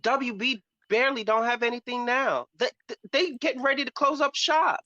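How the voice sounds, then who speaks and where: Male panelist, campy and emphatic, bright cutting tone, slightly lo-fi dry recording. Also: extremely high pitch, normal speed, dry recording. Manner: sassy, campy, emphatic slang with "girl" and "honey". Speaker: panelist on a pop culture talk show. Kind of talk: animated opinionated casual chat.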